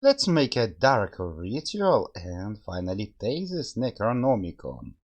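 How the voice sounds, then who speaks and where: A man's voice: fairly low pitch, wildly swooping pitch, a little slow. A man hosting a game video, commenting on play and addressing his viewers directly. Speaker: host of a game review video.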